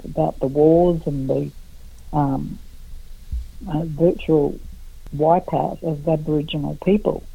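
A middle-aged woman from Australia answers radio interview questions over a tinny phone line, her speech slow and unhurried. Her voice carries a gravelly, creaky texture, and the sound is dry and close. She talks haltingly, with many pauses and fillers. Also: sits low, no room sound. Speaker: guest artist.

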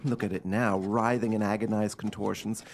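A man acting in a radio play as a nervy, high-handed gentleman with an agitated, melodramatic and commanding manner. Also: level tone, normal speed, slight background noise, natural voice. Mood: tense resolve.

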